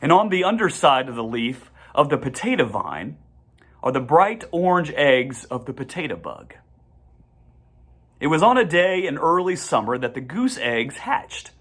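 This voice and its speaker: A reader narrating a children's storybook aloud in measured, deliberate phrases with the occasional stumble. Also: mid-range voice, sing-song, fairly bright tone.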